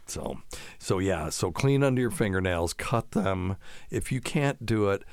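A man's voice: lowish voice, animated, unhurried, creaky, clean tone, dry recording. A man hosting a casual live show, answering listener questions in a folksy, rambling way and explaining medical terms plainly.

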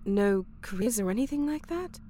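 A woman's voice: medium pitch, lively intonation, moderate pace, mellow, a little hiss or hum, natural voice. A woman voicing a wary, sardonic police officer with a dry, weary, uneasy manner in audiobook dialogue.

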